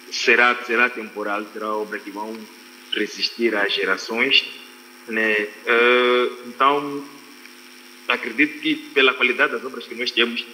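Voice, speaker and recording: A Mozambican man with a low, very bright voice speaks as a guest on a literary panel. He builds his points deliberately, repeating and rephrasing as he goes. The video-call sound is reverberant and noisy. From Quelimane, Mozambique. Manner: deliberate, repeats and rephrases phrases, often adds "né" as a tag. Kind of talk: panel discussion, extempore opinion.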